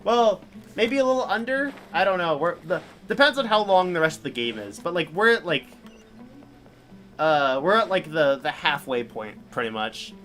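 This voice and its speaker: A man livestreaming a game, chatting casually in an informal, halting, rambling way. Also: fairly high pitch, big pitch swings, a bit bright. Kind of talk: casual chat while gaming. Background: game music.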